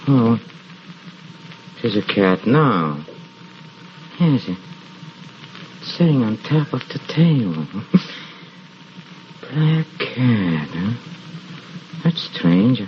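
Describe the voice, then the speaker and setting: Low, slow, brooding drunk man. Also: big pitch swings, exaggerated voice. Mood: muttering, intrigued. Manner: halting, muttering, repeats himself. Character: drunken, haunted husband, brooding and evasive about a cat. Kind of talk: old-time radio horror acting. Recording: dull, hissy old radio recording.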